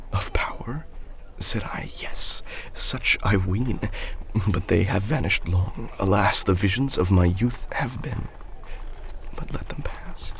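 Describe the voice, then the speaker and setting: A man's voice: fairly low pitch, gravelly, a bit bright, heavily acted. A man playing a brooding, self-doubting actor and conspirator in a student film drama, intense and defensive. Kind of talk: student film drama acting, reciting verse.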